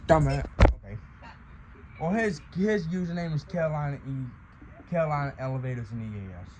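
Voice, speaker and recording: A man hosting an unboxing video in casual, excitable chat. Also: a little high, a little fast, crowd noise. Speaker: host of a radio unboxing video. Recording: noisy amateur recording with clicks.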